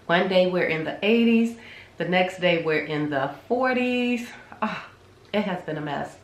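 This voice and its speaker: A woman's voice: on the low side, expressive, unhurried, roomy sound. A woman with a cold, sounding congested, chatting casually to her vlog viewers in a rambling way with lots of ums. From Chicago. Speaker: vlogger. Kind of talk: casual chat to camera.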